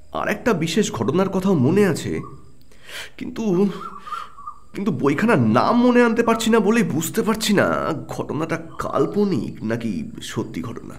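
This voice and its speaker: An actor playing a keen, brooding and excitable private detective in an audio drama, with a probing, emphatic delivery. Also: male voice, high voice, full character voice. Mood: pondering.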